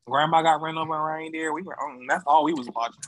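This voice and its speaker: A young American man chats casually as a guest on a podcast. His voice sits high for a man and has a very bright, sharp edge, with an American accent. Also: fairly even intonation, fast. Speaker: podcast guest, voice cast member. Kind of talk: casual chat.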